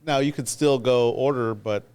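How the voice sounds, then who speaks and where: A man's voice: medium pitch, normal speed, darkish tone, smooth. An American man chatting casually as a co-host on a podcast. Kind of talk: casual chat.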